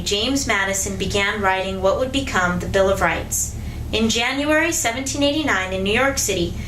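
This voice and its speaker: A woman's voice: medium pitch, fairly bright tone, a little hiss or hum. A woman reading scripted educational narration as the narrator of a history show. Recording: roomy echo, music behind. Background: music.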